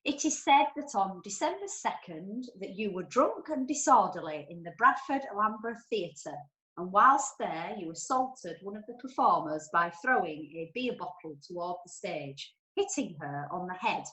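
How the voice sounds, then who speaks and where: Officious clerk of the court for audio drama, female actor, declaiming, animated intonation, formal manner. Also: mid-range voice, normal speed, rough recording. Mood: stern. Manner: formal, proclaiming. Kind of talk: comic courtroom radio play acting.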